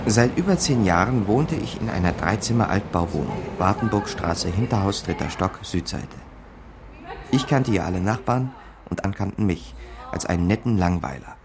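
An actor playing a smooth, glib small-time con man narrating his capers in a German radio crime drama. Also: on the low side, expressive, moderate pace, noisy background. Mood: dry, self-satisfied. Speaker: narrator and lead character.

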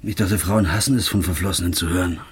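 A man's voice: deep voice, fast, slightly rough, no room sound. An actor playing a blunt, grieving man in an audio drama, speaking in a laconic, detached way, with music playing underneath. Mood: cool. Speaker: first-person narrator and lead character.

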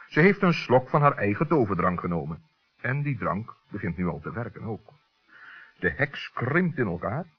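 A man's voice: medium pitch, slightly rough, dull audio. A man narrating a scripted children's story on an old radio recording.

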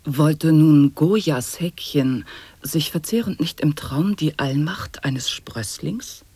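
An actor voicing a reciter of absurd nonsense wordplay with a husky voice, deliberately enunciated, in an avant-garde radio play. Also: male voice, a little high, a little mellow, no echo.

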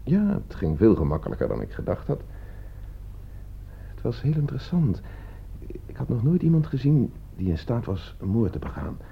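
A man's voice: on the low side, huge pitch swings, moderate pace, gravelly, a little hiss or hum, exaggerated voice. An actor playing a cool, calculating man in a radio play, speaking with composed, provocative deliberation. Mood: calm, narrating. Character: cool, calculating man staging a deadly experiment. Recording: dull, muffled old radio play recording.

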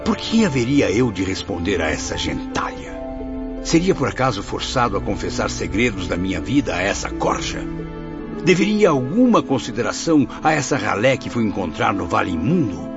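An actor with a Brazilian accent playing a proud, embittered blind writer's spirit in a radio soap, declaiming theatrically. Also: male voice, lowish voice, sing-song, normal speed, creaky. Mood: haughty, indignant. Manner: theatrical, declamatory, grandiose, with swelling and sinking emphasis. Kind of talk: radio soap opera acting. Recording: dull lo-fi audio, music behind.

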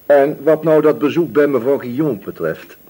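An actor in a Dutch radio play, playing a patient, principled police inspector who questions in a measured, gently admonishing way. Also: male voice, mid-range voice, breathy edge, lightly acted. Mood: reproachful.

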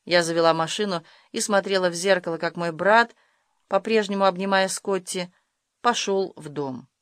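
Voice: medium pitch, normal speed, fairly bright tone, dry recording, studio quality. An audiobook narrator reading first-person literary prose from a script.

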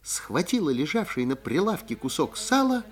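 A man narrating an animal fairy tale in an expressive, scripted storytelling style. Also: a little high, normal speed. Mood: vivid storytelling.

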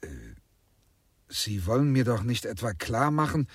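A sceptical lawyer in a radio drama. His husky, medium-pitched voice questions formally and pointedly, full of disbelief. The sound is dry, with no room around it. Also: lively intonation, a little slow, dry recording. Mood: incredulous. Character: incredulous, probing male lawyer, formal and sceptical. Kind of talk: German radio drama acting.

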